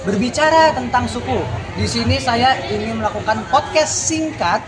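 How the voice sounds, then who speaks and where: A man's voice: very high voice, normal speed. A teenage boy presenting a school task, reading a prepared introduction earnestly and sometimes stumbling. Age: teens. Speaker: student presenter. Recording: loud crowd and noise behind.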